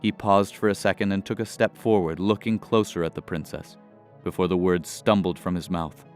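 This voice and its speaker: A man's voice: deep voice, fairly even intonation, normal speed, a little mellow, soft background music. A man narrating a scripted fantasy audio drama as its storyteller.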